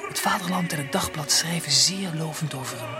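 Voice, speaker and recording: An actor playing a polite, well-informed gentleman with a courteous, explaining manner in a Dutch period radio drama. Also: a little high, a little fast, close to an everyday voice. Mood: informative. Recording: loud opera music behind.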